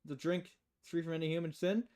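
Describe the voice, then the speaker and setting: Woman putting on a loud, pompous, purity-obsessed bar patron. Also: sits low, steady tone, a little fast, lightly acted. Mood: pompous, emphatic.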